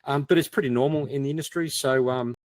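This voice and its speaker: A man's voice: mid-range voice, fast talker, no room sound. A middle-aged man, chatting casually and telling anecdotes in a self-deprecating way. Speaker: podcast co-host and crime writer.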